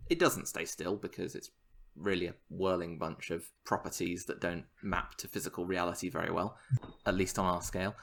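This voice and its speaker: Enthusiastic low-voiced male podcast host. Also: normal speed, creaky, a little hiss or hum. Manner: enthusiastic, animated explainer. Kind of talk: casual science chat.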